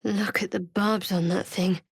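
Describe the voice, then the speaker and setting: A woman playing a guarded young half-elf cleric in a fantasy video game, with a dry, sardonic, measured delivery. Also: medium pitch, fairly even intonation, gravelly, husky, dry recording. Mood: guarded, observant.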